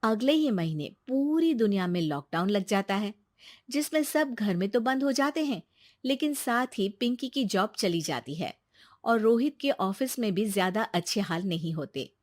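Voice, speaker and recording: A woman's voice: mid-range voice, expressive, moderate pace, no room sound, clean studio sound. A woman narrating a scripted family tale as a storyteller.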